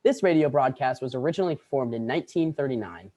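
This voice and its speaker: A woman's voice: very deep voice, lively intonation, fast talker, warm tone. A woman reading a scripted introduction as a presenter.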